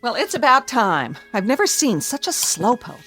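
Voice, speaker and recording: An actress in a radio drama playing a bossy, middle-aged nagging housewife with an emphatic, theatrical delivery. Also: female voice, fairly high pitch, big pitch swings, a bit bright, soft background music. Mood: exasperated, nagging. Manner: exasperated, sarcastic, drawn-out emphatic delivery. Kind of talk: comic radio drama acting.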